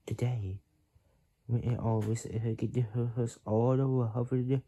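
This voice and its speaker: An amateur performer acting out character lines in a halting, drawn-out way, with a breathy, husky voice that often drops toward a whisper. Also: medium pitch, fairly even intonation, warm tone. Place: small bedroom at home.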